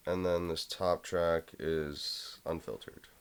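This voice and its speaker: A young man with a low voice casually walking through a home music production tutorial, rambling a bit with fillers. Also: fairly even intonation, takes it slowly. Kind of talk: casual screen-recorded tutorial.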